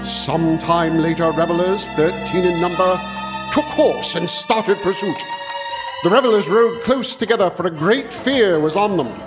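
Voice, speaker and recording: An actor playing an earnest young country doctor who reads an old legend aloud with solemn, dramatic storytelling in a live radio play. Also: male voice, high-pitched, a little slow. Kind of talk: live stage radio-play acting, reading a tale aloud. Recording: live stage recording with music underscore.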